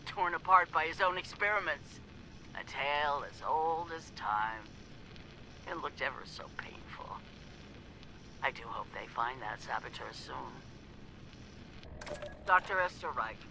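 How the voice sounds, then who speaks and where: A sharp, haughty scientist villain. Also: female voice, low voice, a little hiss or hum, full character voice, rough recording. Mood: mock sympathy, then bitter, uneasy. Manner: theatrical, haughty, deliberate enunciation. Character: arrogant, ruthless female scientist, zealous and vindictive. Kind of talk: scripted video game audio log.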